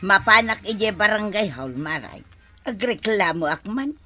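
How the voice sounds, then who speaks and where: A woman's voice: medium pitch, lively intonation, measured pace, bright, forceful tone, faint music behind. A woman with an Ilocano accent acting an aggrieved, fretting village wife in a comedy drama. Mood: indignant.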